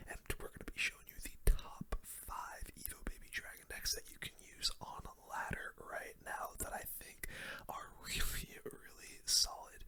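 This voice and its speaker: A person whispering casual, slangy gameplay commentary in an ASMR style, with a breathy, unvoiced delivery. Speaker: gaming content creator and host.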